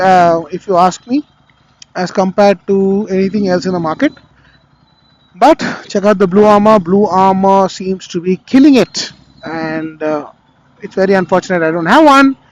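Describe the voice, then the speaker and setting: A man's voice: sits high, rough recording. A man with an Indian accent gives a casual, rambling product review in a conversational way, speaking into a helmet intercom microphone. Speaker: motovlogger reviewing gear. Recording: helmet intercom mic with vehicle noise.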